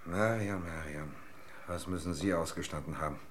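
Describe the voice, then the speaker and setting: Male actor as weary gentleman, radio crime drama, deep bass voice, grave, formal, earnest. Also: moderate pace, noisy background, lightly acted. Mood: concerned, earnest. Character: earnest, weary, polite gentleman questioned by police.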